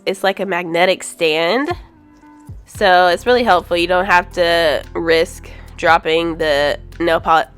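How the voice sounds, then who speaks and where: A woman's voice: lowish voice, a bit bright, clear, resonant tone. Stuffy-voiced woman reviewing nail polish. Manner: easygoing, frequent ums, candid. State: stuffy, congested from a cold. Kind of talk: casual product review voice-over.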